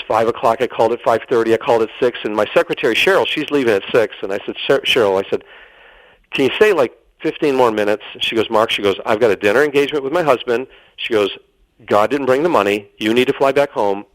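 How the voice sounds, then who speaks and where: A man's voice: medium pitch, no room sound, low-quality recording. A middle-aged American man telling dramatic anecdotes as an interview guest, quoting dialogue as he goes. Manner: dramatic storyteller, quotes dialogue.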